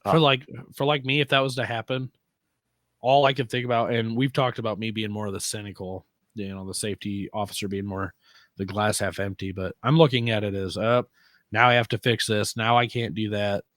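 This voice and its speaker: An American man with a fairly low voice talks off the cuff as a podcast co-host. His speech is informal and slangy, with the odd swear word. The sound is close and dry, with little room in it. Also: little pitch movement, fairly quick, fairly bright tone, no room sound. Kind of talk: casual conversation about off-roading.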